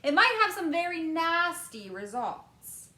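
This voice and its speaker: Woman voicing an eccentric male candy maker, fussy, exclamatory, lively pitch, roomy echo. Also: slight background noise, full character voice. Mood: exclaiming. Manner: fussy, exclamatory, dramatic pauses.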